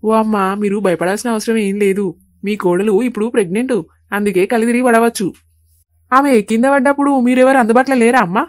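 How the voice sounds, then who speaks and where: A calm, reassuring cartoon doctor voiced by a woman, speaking quickly and dryly. The voice sits well below her usual squeaky register. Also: dry recording, clean studio sound, natural voice. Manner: calm, reassuring, plain Telugu.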